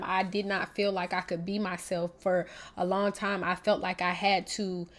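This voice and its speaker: A young woman with a North Carolina Southern accent, hosting a casual livestream with a stuffy nose and chatting straight to her viewers. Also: medium pitch. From Raleigh, North Carolina. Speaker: livestream host.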